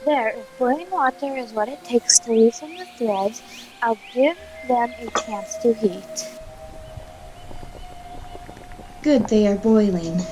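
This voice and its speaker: Woman acting a curious, high-voiced gracious empress. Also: a little slow, mellow, close to an everyday voice, rough recording. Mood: purposeful. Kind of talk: audio play acting. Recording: faint music behind the voice.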